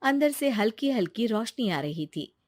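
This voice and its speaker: A woman's voice: on the high side, very animated, moderate pace, a little mellow, no echo. Woman narrator, dry close sound. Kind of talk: scripted storytelling narration.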